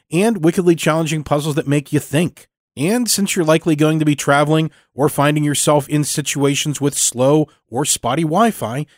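A man reading scripted announcements for a show, with deliberate, measured phrasing. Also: a little high, dry recording, studio quality. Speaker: show announcer and sponsor reader. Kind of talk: scripted narration.

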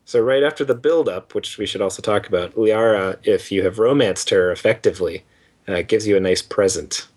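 Young canadian man, low voice, laid-back rambling chat, podcast co-host. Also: fairly quick, a little mellow. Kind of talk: casual chat.

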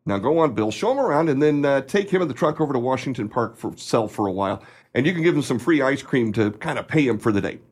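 An actor playing a gruff, evasive, blustering manager of an ice cream company in a radio play. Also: male voice, mid-range voice, animated, quick pace. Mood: pushy, breezy.

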